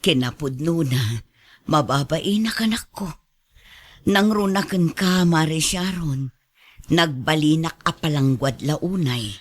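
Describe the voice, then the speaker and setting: A woman's voice: low voice, slow. A woman acting a proud, outspoken middle-aged mother in a radio drama, emphatic and melodramatic, with an Ilocano accent. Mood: contrite.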